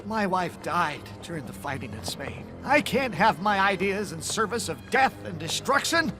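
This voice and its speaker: A man's voice: high voice, big pitch swings, moderate pace, music in the background. An actor playing a middle-aged inventor father, earnest, principled and anxious, in an audio drama. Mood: indignant.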